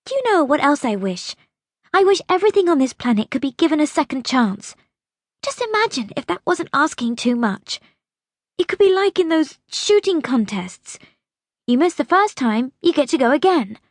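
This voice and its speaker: A woman's voice: high-pitched, sing-song, moderate pace, no room sound, clean studio sound, pitched above the performer's own voice. A woman voicing a bitter, insistent young girl in an audiobook story, pleading and accusing with emphasis. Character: bitter, demanding young girl pleading with her great-grandmother.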